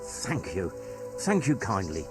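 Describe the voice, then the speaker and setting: A man's voice: low voice, huge pitch swings, slow pace, over music, exaggerated voice. An actor playing a timid, worried middle-aged merchant father in a medieval video game. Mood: anxious, hopeful. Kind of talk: naturalistic video game acting.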